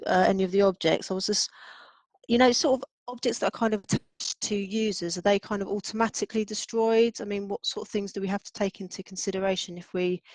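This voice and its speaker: A woman's voice: mid-range voice, darkish tone, no echo. A woman asking the teacher a question during a class, hesitant and hedging with many fillers. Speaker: class participant. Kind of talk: asking a question on a live call.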